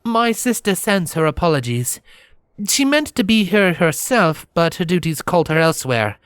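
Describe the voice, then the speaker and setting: A man voicing a regal, gentle young pony princess with a soft, silvery voice and formal, courtly phrasing, in a fantasy story. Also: a little high, sing-song, moderate pace, smooth, dry recording, studio quality, voice pushed up. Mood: gracious.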